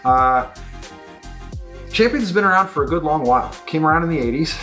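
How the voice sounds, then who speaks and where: A man's voice: fairly high pitch, lively intonation, brisk, pushed and bright, faint music behind. A middle-aged man giving a casual, enthusiastic and discursive review of new tabletop games as a store presenter. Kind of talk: casual product review talk to camera.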